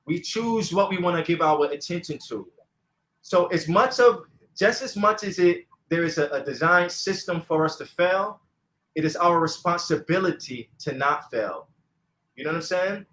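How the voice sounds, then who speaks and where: A man's voice: high voice, moderate pace, fairly bright tone. A man hosting a casual talk, speaking earnestly and checking for agreement. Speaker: host. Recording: roomy echo.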